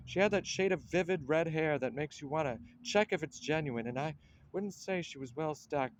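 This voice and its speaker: A man's voice: on the high side, lo-fi sound, lightly acted. An actor playing a wry, pedantic man, delivering dry, clipped lines and hardboiled pastiche narration. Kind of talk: radio-drama acting with hardboiled pastiche narration.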